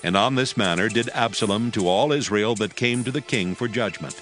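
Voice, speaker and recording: A male narrator reading scripture in a measured, solemn manner, his voice on the low side. Soft music runs faintly underneath.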